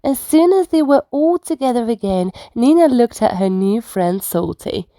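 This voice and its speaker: A woman narrates a children's bedtime story with theatrical expression. Her voice is fairly high and very animated, unhurried, in a close, dry recording. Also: no echo.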